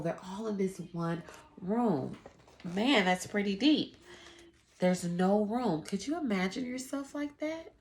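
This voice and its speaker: A woman's voice: mid-range voice, darkish tone. A woman schoolteacher reading aloud and teaching children, instructive and emphatic, addressing them directly. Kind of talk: children's read-aloud lesson.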